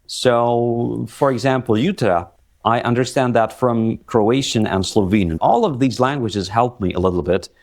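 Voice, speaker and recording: A man's voice: medium pitch, moderate pace, darkish tone. A man hosting a casual chat about languages, thinking aloud and hesitating with fillers. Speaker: host.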